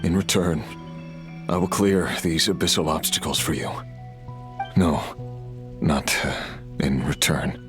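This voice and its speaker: An actor playing a sombre, abyss-corroded resurrected warrior in a video game, grave and remorseful, with halting, heavy pauses. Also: male voice, very deep voice, music in the background. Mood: solemn, pleading. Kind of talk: dramatic video game dialogue.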